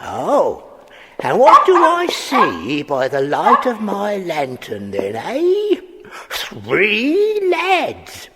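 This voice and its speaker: An actor playing a rough, wary old man who mutters and chats to his little dog in an audiobook drama. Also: sits high, huge pitch swings, bright, forceful tone, exaggerated voice. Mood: suspicious. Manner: slow, drawling, chatty to his dog. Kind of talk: audiobook radio-play acting. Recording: echoing hall, noisy hiss.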